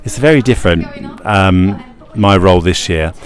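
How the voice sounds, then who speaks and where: A man's voice: deep voice, normal speed. A man, a pantomime actor, answering interview questions in a chatty, enthusiastic way.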